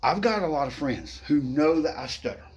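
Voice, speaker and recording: Middle-aged man who stutters, upbeat and playful, pitched a bit high, talking straight to camera. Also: animated, normal speed, a little mellow. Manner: upbeat, playful, keeps adding "okay?". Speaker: vlogger. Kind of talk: casual motivational talk to camera.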